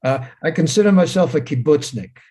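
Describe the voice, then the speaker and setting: A man's voice: on the high side, animated, fairly quick, warm tone, husky. A man in his seventies whose first language is Hebrew, talking casually over a video call, plain-spoken and wry, often repeating his words. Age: 70s. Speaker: speaker at a talk. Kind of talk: casual talk.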